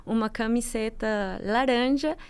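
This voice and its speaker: A woman's voice: on the high side, a little slow, vocal fry, clear, resonant tone. Colombian woman moderating a panel. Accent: Colombian Spanish. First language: Spanish.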